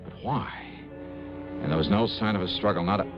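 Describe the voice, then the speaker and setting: An actor playing a tough, wry American insurance investigator, punchy and informal in hard-boiled radio drama style. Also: male voice, low voice, brisk, over music, natural voice. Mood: grim narration. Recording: dull old radio broadcast.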